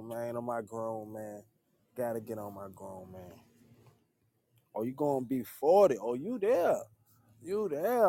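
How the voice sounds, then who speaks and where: This is a relaxed man in his thirties chatting live with his audience. His voice sits at an ordinary pitch with a warm, mellow tone. The recording is dry and close, and he speaks earnestly, coming back to the same phrases. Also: no echo. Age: 30s. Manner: laid-back, repeats "man" at line ends. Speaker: livestream host. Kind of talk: casual livestream chat with viewers.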